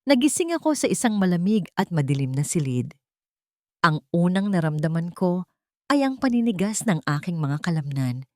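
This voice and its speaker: A woman's voice: on the low side, big pitch swings, moderate pace, dry recording, studio quality. A woman reading a scripted, dramatic first-person story as an audio narrator.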